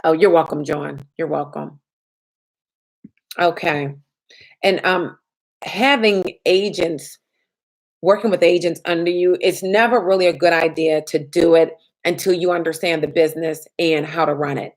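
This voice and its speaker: A woman insurance agent and trainer answering viewer questions in a chatty live stream, her voice a little raspy from little sleep. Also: low voice, fairly even intonation, a little slow. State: slightly raspy from lack of sleep.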